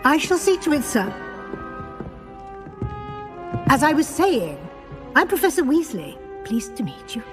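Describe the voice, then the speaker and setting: A woman's voice: high voice, big pitch swings, moderate pace, music in the background. A woman playing a kindly, proper deputy headmistress in a video game, polite and welcoming, with a British accent. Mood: courteous.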